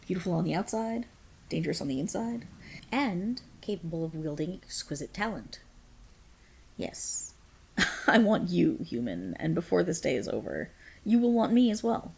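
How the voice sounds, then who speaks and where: An imperious, menacing dragon queen, acted by a woman in a fantasy ASMR roleplay. Her voice is low and gravelly with creak, and it swings widely in pitch as she commands in formal, regal phrases. She sounds proud and possessive. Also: sing-song, normal speed, darkish tone, slight background noise, low-quality recording. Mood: sultry, appraising. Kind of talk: dramatic ASMR roleplay acting.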